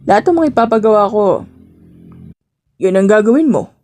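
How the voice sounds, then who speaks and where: A woman's voice: mid-range voice, lively intonation, moderate pace, mellow, close to an everyday voice. A woman voicing a stern, aloof male CEO in an audio drama, curt and commanding.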